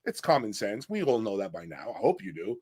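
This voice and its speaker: A man's voice: on the low side, big pitch swings, brisk, darkish tone, husky. A man reviewing audio gear in an informal, opinionated breakdown, speaking bluntly and emphatically and often checking in with the listener.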